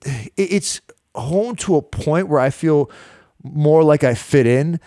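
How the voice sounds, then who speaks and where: A man's voice: on the high side, slow pace, no room sound, clean studio sound. A man in his thirties from the United States, telling stories as a podcast guest in casual chat, slangy and full of fillers. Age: 30s. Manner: animated storyteller, slangy, many fillers.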